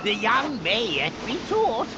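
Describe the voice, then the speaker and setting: A woman voicing a scheming, self-pitying elder immortal in a theatrical, drawn-out way for a video game. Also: a little high, very animated, lo-fi sound, very noisy. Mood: gleeful, scheming. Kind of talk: video game cutscene acting.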